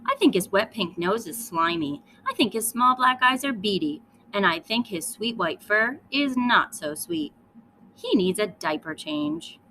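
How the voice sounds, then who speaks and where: A woman voicing a snooty mouse cousin in a children's storybook, speaking with drawn-out disdain. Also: a little high, very animated, creaky, sharp tone, slight background noise, pitched above the performer's own voice, full character voice, poor audio. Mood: disdainful. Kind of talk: children's storybook read-aloud.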